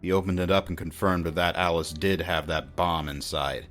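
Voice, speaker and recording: A hardboiled detective haunted by war, with a very low voice. He speaks gravely, in the brooding way of a noir radio play, over a music bed. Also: steady tone, normal speed, a bit bright. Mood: deferential, concerned. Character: brooding war-veteran police detective, male noir narrator. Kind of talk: noir audio drama narration and dialogue.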